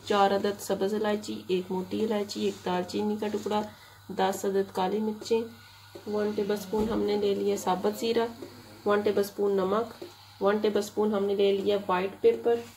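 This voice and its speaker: Flat-voiced woman, home cooking voice-over. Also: medium pitch, moderate pace, low-quality recording. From Pakistan. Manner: even, plain instructional tone, repeats "and see". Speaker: cooking channel host. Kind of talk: step-by-step recipe voice-over. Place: home kitchen. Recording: loud kitchen noise, frying and stirring. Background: sizzling and stirring in a pan.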